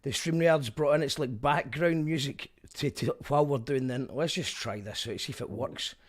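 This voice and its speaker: A man's voice: medium pitch, normal speed. A man with a Scottish accent hosting an online live show in loose, casual chat that often restarts mid-sentence. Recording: background music playing under the voice.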